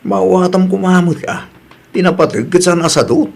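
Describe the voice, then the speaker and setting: A man's voice: high voice, normal speed, creaky, darkish tone. A Filipino man with an Ilocano accent playing a reasonable, mildly chiding husband in a comedy-drama, matter-of-fact in manner.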